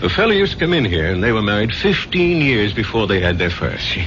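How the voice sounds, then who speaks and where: A genial barkeeper in a vintage radio drama. His low voice rises and falls freely, gentle and philosophical in manner. The recording is dull, with clear background noise. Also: big pitch swings, brisk. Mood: philosophical, hopeful. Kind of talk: 1970s radio drama acting. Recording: dull, noisy old radio broadcast.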